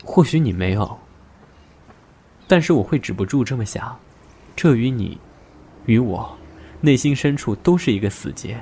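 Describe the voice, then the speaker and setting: An actor playing a reserved, wounded young man in an audio drama, speaking in a measured, resigned, serious way. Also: mid-range voice, lively intonation, dark tone, a little hiss or hum, natural voice. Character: reserved, wounded young man setting terms to a former lover. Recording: music behind the voice. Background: music.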